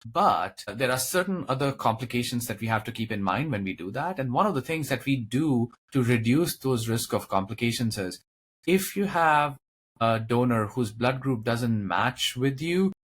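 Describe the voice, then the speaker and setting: A man's voice: mid-range voice, expressive, moderate pace, breathy edge. Male medical expert explaining plainly. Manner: explains in plain lay terms. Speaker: expert guest, transplant physician. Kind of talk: explanatory interview answers.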